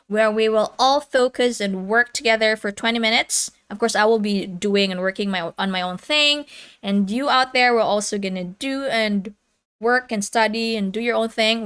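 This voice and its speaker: A woman's voice: on the high side, fairly bright tone, clean tone. A woman in her thirties hosting a casual livestream, chatting with viewers, laughing often and reading the chat aloud. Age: 30s. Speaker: livestream host.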